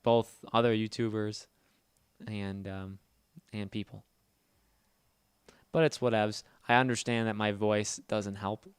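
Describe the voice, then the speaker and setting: A man hosting a casual gaming livestream, talking fast and loud with a nasal voice and rambling as he answers chat. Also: fairly low pitch, flat delivery, clear, resonant tone, no room sound. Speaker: livestream host.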